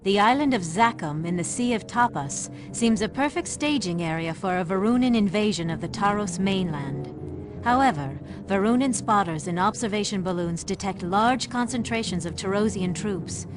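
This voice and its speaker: A woman's voice: mid-range voice, lively intonation. Solemn woman narrator over music. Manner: measured, solemn storytelling. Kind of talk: scripted dramatic fantasy game narration.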